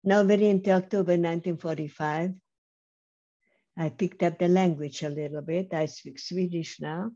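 An older woman giving a measured, emphatic personal testimony over a video call, speaking slowly with pauses. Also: lowish voice, steady tone, warm tone. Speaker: Holocaust survivor speaker. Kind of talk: slow personal testimony talk. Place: home room on video call. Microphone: webcam mic on video call. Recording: slightly dull lo-fi video call audio.

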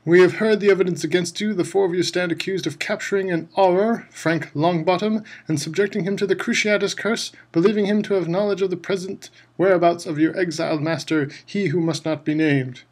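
A man's voice: high voice, fairly even intonation, a little fast, few pauses, darkish tone, slightly rough, voice pushed up. A man voicing a stern, furious middle-aged male judge who bellows and commands. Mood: stern, commanding.

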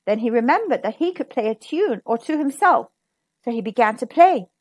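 Female storybook narrator: expressive, emphatic, very lively intonation, dry close recording. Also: fairly high pitch, moderate pace, breathy edge, no room sound. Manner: dramatic, emphatic. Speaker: storybook reader. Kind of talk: expressive children's read-aloud narration.

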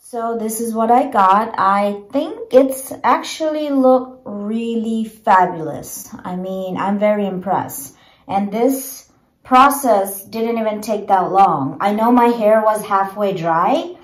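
A woman in her thirties chatting casually through a home hair tutorial, with many fillers and a gentle, way of talking, filmed on a propped phone in a small bedroom. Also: mid-range voice, slow pace. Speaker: beauty vlogger. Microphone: phone camera propped low. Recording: echoey small room.

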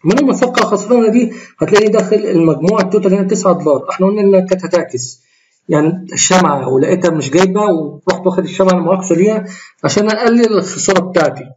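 A man with an Egyptian Arabic accent, voice a little tired and hoarse, talking through his trades in a screen-recorded walkthrough, often breaking off and correcting himself. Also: sits high, racing, muffled recording, slight background noise. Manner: rambling, self-correcting, explains while trading live. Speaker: trading tutorial host.

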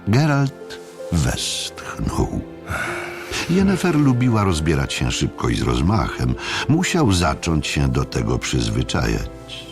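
A male audiobook narrator with a low, gravelly voice tells a story in a slow, measured way. His intonation is extremely varied, with dramatic pauses between phrases. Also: huge pitch swings, music in the background. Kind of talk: scripted dramatic literary narration.

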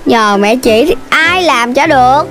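A woman voicing a boastful, big-bellied young animal in a children's cartoon, with a childlike, whiny voice. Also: expressive. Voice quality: high, childlike. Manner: boastful, whiny when tired, drawn-out. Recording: music playing behind the voice.

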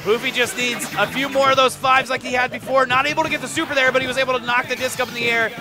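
Male esports caster, high register, excitable and analytical, music playing underneath. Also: fast talker. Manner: excitable, explains tactics in detail. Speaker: esports tournament commentator. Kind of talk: live play-by-play and analysis of a video game match. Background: video game music.

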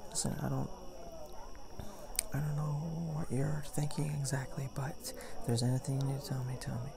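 A young man playing a caring boyfriend in an ASMR roleplay, soft-spoken, hesitant and reassuring. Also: mid-range voice, level tone, moderate pace, creaky, noisy background, close to an everyday voice. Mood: concerned, reassuring. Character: caring, gentle young boyfriend comforting his partner. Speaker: roleplay voice performer. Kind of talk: ASMR boyfriend roleplay, intimate casual talk. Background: party and night ambience.

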